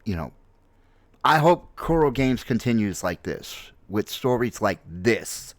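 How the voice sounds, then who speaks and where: A man in his late forties giving casual, unscripted opinion commentary on a game, halting but emphatic, repeating phrases for stress. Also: on the low side, big pitch swings, no room sound. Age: late 40s. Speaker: gaming video host and streamer.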